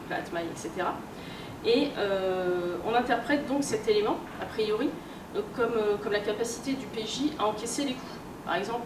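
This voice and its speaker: A woman gives an informal explanatory lecture at a convention, hesitant and colloquial, often correcting herself. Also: mid-range voice. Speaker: conference speaker, researcher and editor. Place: live talk in a room at a convention. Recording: roomy, distant, noisy live conference recording.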